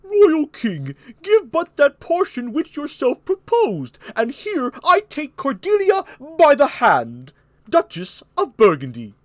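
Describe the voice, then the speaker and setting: Courtly stage duke, played by a man: high voice, formal, deferential, animated. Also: takes it slowly, dry recording, full character voice. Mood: pleading. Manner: high-pitched, formal, stately. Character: courteous, apologetic noble duke suitor.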